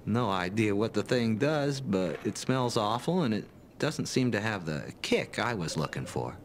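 An actor playing a genial, tipsy drunkard in a fantasy game, rambling in a loose, friendly way. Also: male voice, on the low side, big pitch swings, measured pace, a little hiss or hum. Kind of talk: fantasy video game dialogue.

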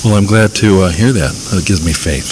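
A male radio host with a very low voice, relaxed and a little halting as he searches for words. The 1990s recording is thick with hiss. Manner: easygoing, hesitant with fillers. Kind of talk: radio interview.

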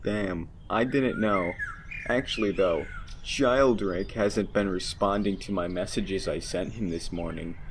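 A man voices a young bird-dragon monster in an audio drama. He is breezy and slangy, low in pitch and unhurried, with some background noise. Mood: casual, puzzled. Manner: laid-back, casual slang. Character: breezy, joking young male duck-dragon snowflake monster. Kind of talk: fan audio drama acting. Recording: clearly noisy background.

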